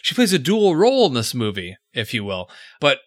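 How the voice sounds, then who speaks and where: A man's voice: mid-range voice, huge pitch swings, brisk, dry recording, clean studio sound. A man in casual podcast chat, speaking in a confident, opinionated way and teasing his co-hosts.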